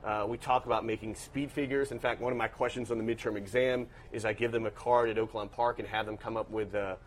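A man's voice: lowish voice, fairly even intonation, quick pace, a little hiss or hum. A man of fifty who grew up in Washington, D.C., a professor and guest, talking through his background in a thoughtful, analytical way with many restarts. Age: 50s. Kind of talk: casual interview storytelling.

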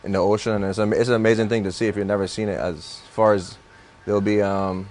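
A man's voice: on the low side, flat delivery, quick pace, a little mellow. A young Barbadian man, a racehorse trainer, chatting loosely in an interview with many restarts and fillers. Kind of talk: casual interview.

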